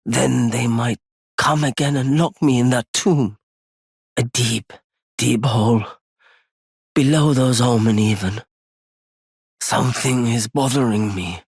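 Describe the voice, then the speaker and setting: An actor playing a wary, proud nomadic merchant in a video game, with a husky, gravelly voice and a weighty, theatrical delivery. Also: male voice, mid-range voice, slow, pauses often, dry recording, studio quality, heavily acted. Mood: subdued, confiding. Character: wary, courteous nomadic male merchant turned bitter and vengeful. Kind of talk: scripted game character lines.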